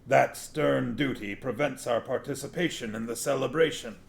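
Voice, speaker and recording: A man's voice: medium pitch, animated, unhurried, creaky, voice pushed up. A man voicing an old sorcerer who poses as a humble pilgrim, speaking formally and deferentially with guttural sounds in a storybook reading.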